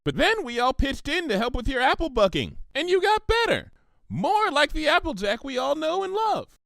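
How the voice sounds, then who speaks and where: Man putting on a high, bubbly girl cartoon pony. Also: very animated, normal speed, gravelly, a bit bright, no room sound, studio quality, full character voice. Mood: earnest, caring. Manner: bubbly, sing-song, gushing. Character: perky, earnest young female cartoon pony, bubbly and sympathetic.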